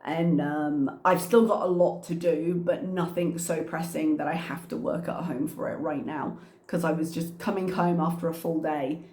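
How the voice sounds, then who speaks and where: A woman's voice: sits low, steady tone, normal speed, darkish tone. A woman, a teacher, chatting casually to her vlog camera in a meandering, storytelling way while congested and coughing a little. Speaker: teacher and channel host. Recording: echoey room.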